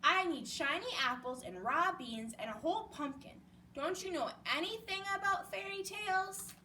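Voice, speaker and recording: A woman's voice: very high voice, animated, moderate pace, pushed and bright, pitched above the performer's own voice, heavily acted. A woman voicing a flustered, bossy female headquarters chief in a storybook, scolding and exclaiming. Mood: flustered indignant. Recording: distant mic with background noise.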